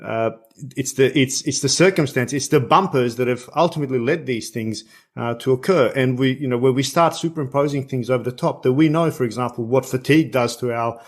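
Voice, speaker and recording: A man from Bosnia hosting an interview podcast, talking conversationally and repeating words as he forms his thoughts. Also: medium pitch. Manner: halting, repeats words while forming thoughts. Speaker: podcast host.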